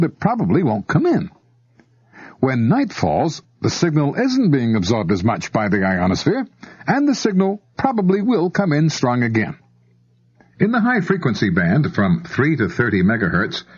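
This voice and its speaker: A man's voice: medium pitch, breathy edge. An American man reads scripted instructional narration, explaining things step by step and speaking directly to the listener. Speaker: narrator of an instructional audio tape. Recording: dull lo-fi old cassette tape.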